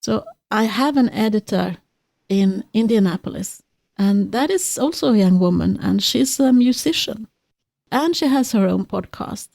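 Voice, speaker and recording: A Swedish-accented woman hosting a podcast, talking things over earnestly with a guest. Her voice is gravelly and mellow, and the sound is dry and close. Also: medium pitch, animated, measured pace, no room sound. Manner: earnest, reflective, frequent restarts. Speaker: podcast host. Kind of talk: interview conversation.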